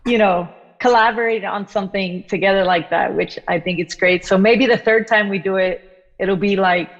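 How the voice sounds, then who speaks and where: A woman's voice: mid-range voice, steady tone, moderate pace. A young adult woman, a drummer and composer, chatting on a video call from home, laughing often and quoting her own thoughts. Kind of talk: casual chat on a video call. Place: room at home. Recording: echoey, dull video-call audio.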